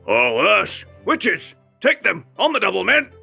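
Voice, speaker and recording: Blustering, creaky, theatrical guard captain. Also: mid-range voice, wildly swooping pitch, unhurried, pushed and bright, soft background music, full character voice. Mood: commanding, alarmed. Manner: pompous, theatrical, exclaiming. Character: blustering pompous guard captain inside a painting. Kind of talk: fan dub character acting.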